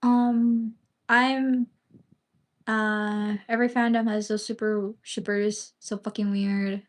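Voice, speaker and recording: A woman's voice: mid-range voice, little pitch movement, slow. Woman streamer from the Philippines, Bisaya speaker, loose rambling chat full of fillers and casual swearing. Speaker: livestreamer.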